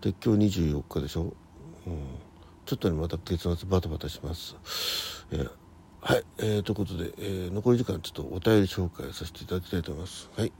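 A man in his sixties hosting a casual solo radio chat, rambling haltingly and often correcting himself as he goes. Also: sits low, vocal fry, warm tone, slight background noise. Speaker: host of a morning audio diary show.

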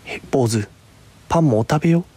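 A man's voice: mid-range voice, brisk, dark tone, slightly rough, natural voice. A man voicing a small child in a storybook tale, fondly coaxing and playfully chiding a pet. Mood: gently chiding.

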